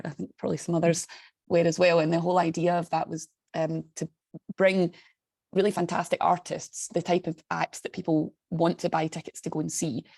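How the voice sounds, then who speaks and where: A woman's voice: deep voice, creaky, mellow, no room sound, clean studio sound. A woman speaking on a video call in a chatty, enthusiastic way. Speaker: staff member of an international alliance. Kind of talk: online meeting contribution.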